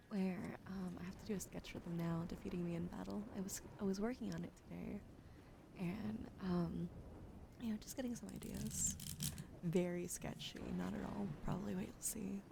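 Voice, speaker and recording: Young woman, soft ASMR talker, husky fry in the voice, half-whispering close to the mic, hissy home recording. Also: medium pitch. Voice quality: gravelly fry, often whispered. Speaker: streamer doing tarot readings. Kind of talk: casual ASMR chat, soft-spoken.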